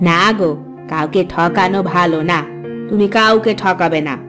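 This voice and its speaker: A woman playing a gentle, honest and sensible village wife in a Bengali cartoon. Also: lowish voice, moderate pace, over music, close to an everyday voice. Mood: gently admonishing. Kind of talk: Bengali moral cartoon voice acting.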